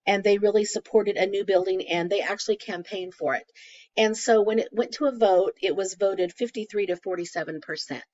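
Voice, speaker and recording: A woman with a crackly, hoarse voice from a cold, presenting a class case study conversationally with frequent fillers. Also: medium pitch. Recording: dull lo-fi recording.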